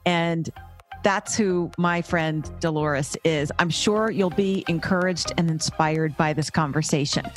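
A woman's voice: low-pitched, a little slow, faint music behind. A woman hosting a podcast interview in an upbeat, effusive, chatty way. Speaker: podcast host. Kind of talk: casual interview chat.

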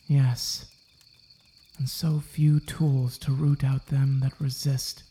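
A dutiful but uneasy male Puritan sheriff played by a man in a period audio drama. He speaks slowly and formally in a soft, dark tone within an echoing room. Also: medium pitch, little pitch movement, lightly acted. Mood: uneasy, conspiratorial. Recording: roomy, echoing sound.

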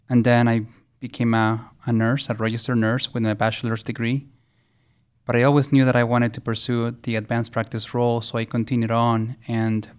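A man from Chile in his thirties, telling his career story in a measured, earnest way as a podcast guest. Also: medium pitch, clear, resonant tone. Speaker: guest, nursing regulator. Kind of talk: podcast interview.

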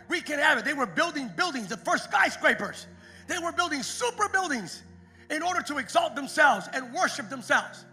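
A man preaching a Pentecost sermon as pastor, moving from quiet, conversational storytelling to loud, shouted exhortation. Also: extremely high pitch. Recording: music playing behind the preaching.

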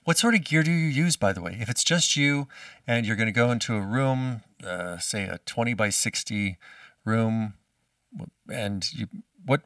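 A man's voice: medium pitch, animated, moderate pace, fairly bright tone, dry recording. A man hosting an interview, asking long rambling questions full of restarts. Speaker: podcast host interviewer. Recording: dry, distant from the mic.

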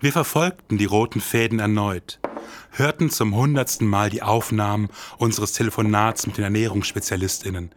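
A man's voice: fairly low pitch, normal speed, slight background noise. A man hosting and narrating a podcast in a dramatic, brooding, hard-boiled detective manner. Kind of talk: scripted noir detective narration.

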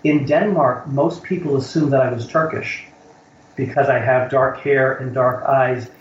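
A man's voice: mid-range voice, fairly even intonation, measured pace. A middle-aged American man, a college instructor, answering interview questions in a thoughtful way and often repeating words as he searches for phrasing. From United States. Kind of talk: reflective interview conversation. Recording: roomy, slightly dull recording.

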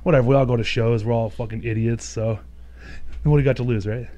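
A man's voice: medium pitch, fairly even intonation, fast talker, mellow. A man in his thirties hosting a loose, laughing casual chat. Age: 30s. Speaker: podcast host.

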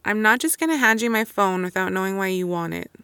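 Wry, creaky-voiced young woman. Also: medium pitch, quick pace, sharp tone, no room sound, clean studio sound. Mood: wary. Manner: casual, wry, self-interrupting. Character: guarded, wry young woman returning home for a funeral. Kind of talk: naturalistic audio drama monologue and dialogue.

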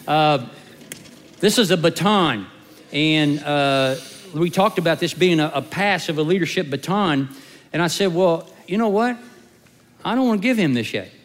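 A man speaking as lead pastor to his congregation, heartfelt and emotional, pausing with frequent fillers. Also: on the high side, lively intonation. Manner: warm, emotional, hesitant with fillers. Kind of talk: sermon announcement. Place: church sanctuary. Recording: long church reverb.

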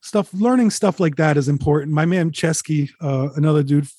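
A man in his forties, a touring musician, telling candid road stories in a relaxed, rambling way, swearing freely. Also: a little high, normal speed, dry recording, studio quality. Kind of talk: casual interview, candid storytelling.

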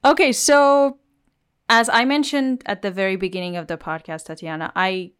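A woman's voice: mid-range voice, fairly even intonation, moderate pace, smooth. A woman hosting a podcast, chatty and enthusiastic, laughing often as she talks with her guest. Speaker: podcast host. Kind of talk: casual podcast interview.

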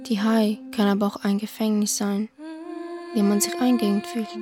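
Even-toned woman narrating over prominent music. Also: mid-range voice, moderate pace. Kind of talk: scripted reflective narration.

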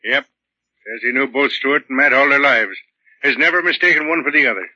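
An actor playing a seasoned, wry arson detective with a matter-of-fact delivery in a 1940s radio drama. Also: male voice, mid-range voice, normal speed, bright, forceful tone, no echo. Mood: wry, weary. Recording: muffled, dry vintage radio recording.

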